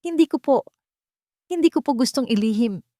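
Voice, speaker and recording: A woman putting on a tearful young housemaid in an audio drama, begging politely in a shaky, sobbing voice. She sounds raised and high, recorded dry and close. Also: expressive, normal speed, dark tone, no room sound. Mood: tearful pleading. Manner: sobbing, trembling, polite pleading.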